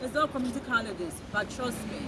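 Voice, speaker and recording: A woman's voice: on the high side, lively intonation, fast talker. A woman from Ghana in her thirties with a Ghanaian accent, a nurse giving earnest advice in a casual street interview, repeating her points. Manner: advising, earnest, repeats phrases. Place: city street outdoors. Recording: loud street noise. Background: loud traffic.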